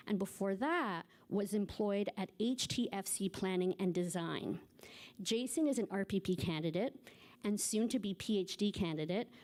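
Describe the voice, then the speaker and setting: A woman hosts a panel on a conference stage, reading biographies from her notes in a measured, formal way. Her voice sits at an ordinary middle pitch, and she now and then stumbles over a name before carrying on. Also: darkish tone. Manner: formal, reads from notes, trips over names. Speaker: panel moderator. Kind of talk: reading speaker bios and moderating a panel.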